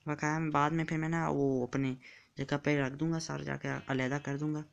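A man's voice: fairly high pitch, flat delivery, normal speed, creaky, highs cut off. A man giving casual commentary while playing a block-building game, chatty and counting blocks aloud as he builds. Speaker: gamer.

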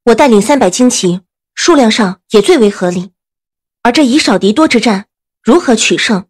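A woman's voice: a little high, expressive, normal speed, slightly rough. A woman playing a confident young woman general in a period audio drama, decisive and composed. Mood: firm, assured.

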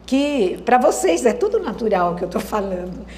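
A Brazilian woman speaking to students in a roomy hall, her fairly high voice rising and falling with animation. She pauses and restarts as she works her ideas out, and often turns to the audience with questions. Faint noise sits under the room echo. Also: sing-song, darkish tone. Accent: Brazilian Portuguese. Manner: thoughtful, hesitant restarts, rhetorical questions to the audience. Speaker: lecturer. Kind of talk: university lecture. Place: lecture hall.

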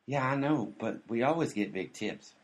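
A man playing a stubborn, unhurried deliveryman with a deadpan manner in a comedy radio play. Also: medium pitch, close to an everyday voice.

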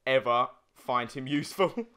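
A man's voice: medium pitch, moderate pace, bright, forceful tone, clear, resonant tone. A man co-hosting a let's play, giving chatty, casual gaming commentary with some swearing.

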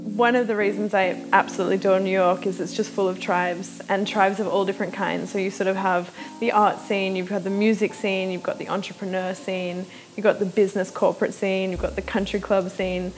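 A woman's voice: medium pitch, little pitch movement, a little fast, hardly any pauses, soft background music, rough recording. A young woman talking enthusiastically in a documentary interview, listing things one after another.

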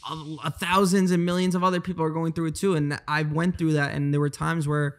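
A man's voice: on the high side, little pitch movement, brisk. A young man in his twenties, a guest on a podcast, talking earnestly into a handheld mic and often repeating words as he thinks. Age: 20s. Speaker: guest, trader. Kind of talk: casual podcast interview. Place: bright high-rise living room.

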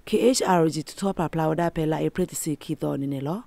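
A woman's voice: low-pitched, brisk, creaky, warm tone, no room sound. A woman reading scripted news for radio, as a presenter in a studio.

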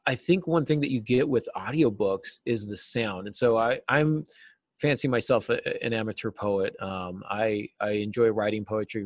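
Low-voiced middle-aged man, casual and explanatory. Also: no room sound. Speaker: interview guest, novelist and writing teacher. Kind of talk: casual interview conversation about craft. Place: small cluttered office, indoors. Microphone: video call, seated at a desk.

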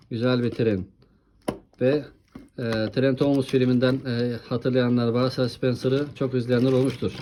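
Woman w really low soft-edged voice, casual toy show with a kid, asks lots of questions, bit of background noise. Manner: encouraging, often asks questions. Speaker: host presenting toy trains with a child. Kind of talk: casual toy showcase chat.

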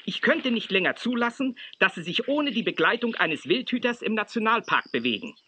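Sharp, earnest male wildlife official. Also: sits high, very animated, brisk, a little hiss or hum, exaggerated voice. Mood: worried, stern. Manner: grave, insistent, formal. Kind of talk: children's audio drama acting.

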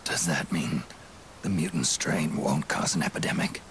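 An actor playing a stoic, weary soldier in a video game cutscene, speaking tersely in a gruff, hushed growl. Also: male voice, extremely low pitch, moderate pace, slight background noise. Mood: grave. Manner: terse, grave questioning.

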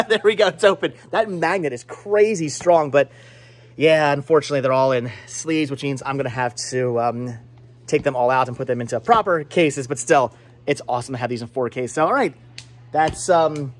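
A man in his forties with a slightly high voice, vlogging as he shops indoors. He rambles playfully, breaking off and correcting himself, in a casual, chatty style into a camera held at arm's length. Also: normal speed. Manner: rambling, self-interrupting, playful. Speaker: vlogger and movie collector. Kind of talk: casual chatty shopping vlog. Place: indoor video and game shop. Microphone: handheld camera at arm's length.

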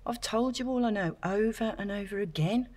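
An actress playing an anxious, self-doubting woman in an audio drama, speaking in a flustered, halting way. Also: mid-range voice, a little mellow, lightly acted. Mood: flustered, pleading.

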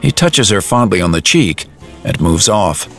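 A man's voice: low voice, big pitch swings, moderate pace, fairly bright tone. A man reading scripted dramatic narration for an audio drama. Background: music.

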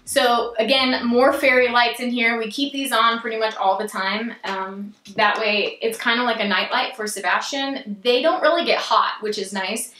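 A woman's voice: on the high side, fairly even intonation, moderate pace, few pauses, pushed and bright, slight background noise. A young woman giving a casual, upbeat house tour vlog, chatty with lots of fillers. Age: young adult. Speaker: home vlogger. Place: small rooms of a house, indoors. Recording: echoey small rooms.

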